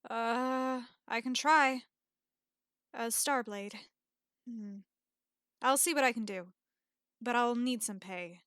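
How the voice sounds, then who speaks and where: A woman acts a confident fantasy blacksmith girl in an audiobook drama. The voice is fairly high, very clean and smooth, with a businesslike, matter-of-fact manner. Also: takes it slowly, long pauses, a bit bright, no room sound, clean studio sound. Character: businesslike, confident female swordswoman blacksmith with a tail. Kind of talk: audiobook drama character acting.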